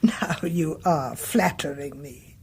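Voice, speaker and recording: An English actress playing a frail, stout older neighbour in a radio drama, genteel, coy and gently needling. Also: female voice, deep voice, takes it slowly, slightly rough, exaggerated voice. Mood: coy, teasing.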